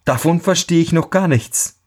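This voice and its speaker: A man's voice: on the high side, normal speed, darkish tone, voice pushed up, lightly acted. A man voicing a naive, fearless young lad in a storybook fairy tale. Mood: earnest.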